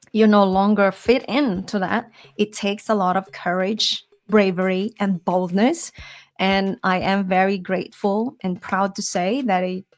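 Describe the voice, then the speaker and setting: Here is a middle-aged woman co-hosting a conversational talk show. Her voice sits at a medium pitch, and she talks in long, flowing, earnest stretches. She fills them with "you know"s and keeps her delivery expressive. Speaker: podcast co-host.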